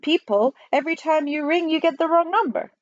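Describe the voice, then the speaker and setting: A woman's voice: high voice, fairly quick, no room sound, lo-fi sound, voice pushed up, close to an everyday voice. A woman voicing an earnest, meek male postmaster general in a storybook reading, apologetic and deferential.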